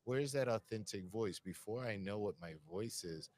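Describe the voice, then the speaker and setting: A man with a deep voice, musing at length in a reflective conversation. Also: normal speed, darkish tone. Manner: musing, searching, repeats phrases.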